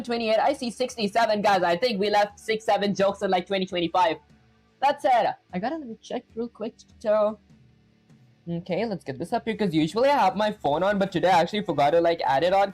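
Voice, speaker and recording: A woman's voice: mid-range voice, fast talker, darkish tone, soft background music. Chatty woman live-coding on stream. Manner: chatty, thinks aloud, many "like" fillers.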